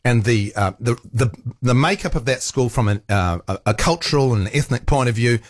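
A man's voice: mid-range voice, animated, a little fast, no echo, studio quality. A man, hosting a panel discussion and giving strong opinions. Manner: opinionated, many fillers and restarts. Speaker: host.

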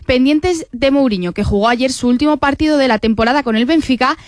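A woman delivering a sports news report in a crisp, even manner. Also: high-pitched, a little fast, dry recording, clean studio sound.

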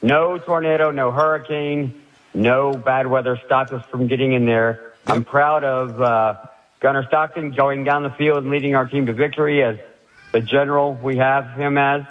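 A man calling in to a sports radio show with a head cold, an excited fan talking haltingly with many fillers. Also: medium pitch. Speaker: caller. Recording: dull phone-in line.

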